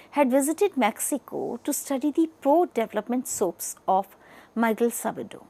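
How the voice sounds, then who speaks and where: Female media educator, Indian accent, slightly high voice with lively, swinging intonation, explaining to students emphatically. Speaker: media educator presenting a lesson. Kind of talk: lecture-style explanatory narration.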